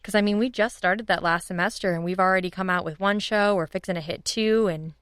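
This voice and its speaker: A woman's voice: mid-range voice, fairly even intonation, brisk, fairly bright tone, clear, resonant tone, no echo, clean studio sound. A woman chatting casually and enthusiastically as an interview guest, informal and upbeat. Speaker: guest, audio drama writers' room leader.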